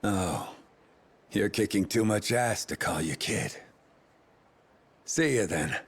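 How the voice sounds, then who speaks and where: A man's voice: on the low side, animated, takes it slowly, breathy edge, heavily acted. An actor playing a cocky, mocking male loan shark in a game dub, drawing out his taunts. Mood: taunting.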